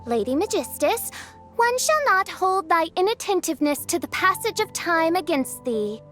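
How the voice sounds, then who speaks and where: A woman's voice: very high voice, big pitch swings, normal speed, fairly bright tone, faint music behind, heavily acted. A woman voicing a haughty, theatrical teenage girl who poses as a princess in a video game, speaking in pompous, archaic phrases. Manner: pompous, archaic, grandiose diction.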